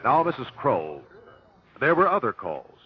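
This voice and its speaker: An actor playing a persistent examiner at an inquest, asking formal, clipped questions in an old radio drama. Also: male voice, mid-range voice, sing-song, unhurried. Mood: probing, formal. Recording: dull, dry old radio recording.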